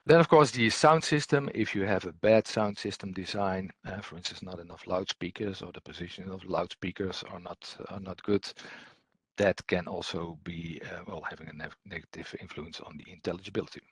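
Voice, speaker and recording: A man giving an explanatory technical presentation as a trainer, unscripted, in a halting, hesitant way with repeated words. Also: sits low, a bit bright, breathy edge, dry recording.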